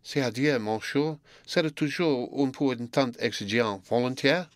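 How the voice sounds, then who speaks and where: A man reading aloud voices a shy, earnest young woman pupil, speaking softly and slowly in a raised tone. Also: medium pitch, no echo, pitched above the performer's own voice, close to an everyday voice. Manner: soft, subdued and slow.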